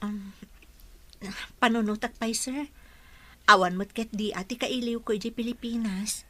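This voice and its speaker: Bright, high-voiced Filipina. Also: female voice, steady tone, unhurried. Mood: hesitant, deferential. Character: modest, respectful Filipina working abroad for a widowed boss. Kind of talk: Ilocano radio drama acting.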